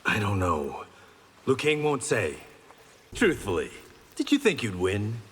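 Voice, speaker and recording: An actor playing a stern, honorable reformed-gangster swordsman in a video game, speaking in a measured, terse, resolute way. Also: on the low side, huge pitch swings, husky, heavily acted. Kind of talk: video game fight banter. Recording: reverberant hall, faint background noise.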